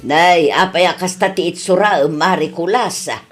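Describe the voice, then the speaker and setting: A woman's voice: fairly low pitch, moderate pace, faint music behind, close to an everyday voice. A woman playing a disapproving friend who chides with exasperation, in a comedy radio drama. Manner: chiding, exasperated. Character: scolding female friend, a disapproving voice of conscience.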